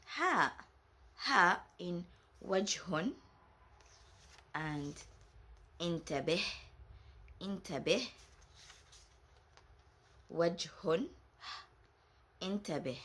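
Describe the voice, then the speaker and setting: A woman whose first language is Arabic, from Saudi Arabia, teaching a patient Arabic lesson and repeating each example word slowly. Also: fairly low pitch, a bit bright, husky.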